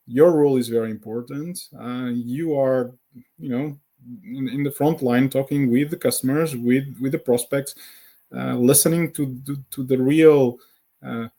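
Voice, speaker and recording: A man in his thirties from Portugal with a Portuguese accent, a native Portuguese speaker talking English as a podcast guest, halting speech full of fillers and repeated words. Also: mid-range voice, dark tone. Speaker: guest, founder of a sales community. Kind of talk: conversational podcast interview.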